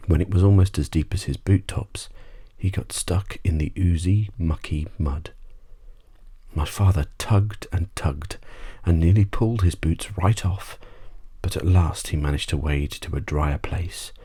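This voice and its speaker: A man with a very deep, dark, breathy voice reads a bedtime story. His narration is soft and measured, in an ASMR style. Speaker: audiobook narrator.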